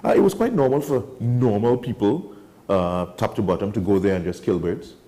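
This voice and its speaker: Trinidadian man, wildlife guest, low and mellow, enthusiastic storyteller. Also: moderate pace. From Trinidad and Tobago. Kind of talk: casual studio chat. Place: TV studio.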